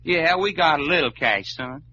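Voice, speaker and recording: Bright, folksy Southern adventurer. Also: male voice, fairly high pitch, animated, moderate pace. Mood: scrappy. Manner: folksy drawl full of colorful slang. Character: Doc, big-eating, folksy, hot-blooded adventurer buddy. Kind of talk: old-time radio drama acting. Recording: muffled, hissy old radio recording.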